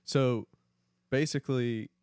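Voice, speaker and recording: A man's voice: mid-range voice, steady tone, slow, a little mellow, clean tone, no room sound, studio quality. A young man co-hosting a podcast, chatting casually with friends about sports. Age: young adult. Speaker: podcast co-host.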